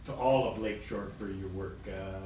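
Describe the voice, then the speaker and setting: Low-voiced man presenting, very echoey. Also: warm tone, slight background noise. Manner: halting, repeats words, uses fillers. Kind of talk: presentation remarks. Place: parlor of an old house, indoors. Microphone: phone camera in the audience. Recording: very echoey room, distant phone recording.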